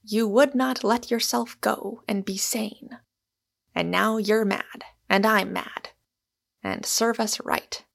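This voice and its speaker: This is a woman acting a gloomy Irishman from a storybook, sardonic and bitter. The voice is creaky and deliberate, with a deadly calm irony in every phrase. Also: fairly high pitch, pitched above the performer's own voice, exaggerated voice. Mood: bitterly calm. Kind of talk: acted audiobook dialogue.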